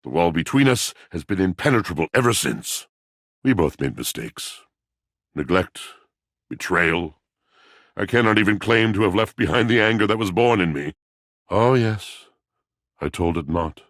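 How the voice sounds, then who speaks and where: A man voicing a brooding, lovelorn, melodramatic video game character, speaking in a grandiose, poetic, mournful way with a gravelly voice. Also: low voice, expressive, normal speed, long pauses, a bit bright, no echo, clean studio sound, heavily acted. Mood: bitter, wounded.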